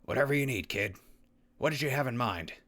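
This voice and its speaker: A man acting as a gruff, loyal wasteland veteran with a folksy, punchy manner in a post-apocalyptic audio drama. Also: mid-range voice, expressive, a little fast, a bit bright. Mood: supportive.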